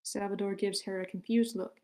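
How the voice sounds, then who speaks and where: A woman's voice: mid-range voice, level tone, a little fast, a crowd in the background. A woman reading stage directions as the narrator of a screenplay table read.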